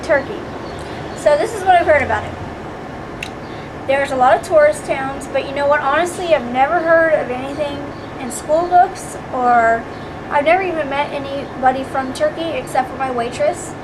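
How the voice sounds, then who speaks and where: A woman with a high, light voice offers frank, chatty opinions to an interviewer. Heavy traffic and street noise press in around her, so the recording sounds loud and busy. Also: normal speed. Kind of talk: casual street interview answer.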